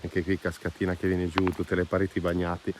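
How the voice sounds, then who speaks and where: A man's voice: low-pitched, completely flat delivery, fairly quick. Enthusiastic man vlogging outdoors. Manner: enthusiastic, exclamatory, informal and slangy. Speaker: hiking vlogger. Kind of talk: casual vlog chat while walking outdoors. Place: outdoors on a mountain trail. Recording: outdoor noise, ambient hiss.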